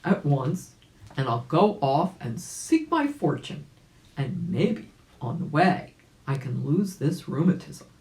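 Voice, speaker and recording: Storybook old rabbit gentleman, acted by a woman: fussy, exclamatory, very animated delivery, roomy sound. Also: deep voice, takes it slowly, heavily acted. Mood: resolved. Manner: old-fashioned, exclamatory speech. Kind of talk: children's storybook character voice. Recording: roomy, echoing home recording.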